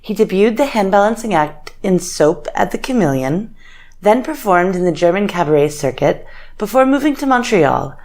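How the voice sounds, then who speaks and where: Upbeat podcast hostess, lowish voice. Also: female voice, a little mellow. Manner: upbeat, brief encouraging responses. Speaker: podcast host. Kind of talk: scripted intro, then casual interview.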